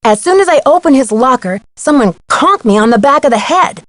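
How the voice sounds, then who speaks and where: The higher, close and dry voice of a level-headed girl sleuth reporting clues in a mystery adventure game. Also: expressive, a little fast, a bit bright, no echo. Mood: matter-of-fact. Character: young female amateur detective, plucky, level-headed. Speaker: game voice actor. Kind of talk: scripted game dialogue.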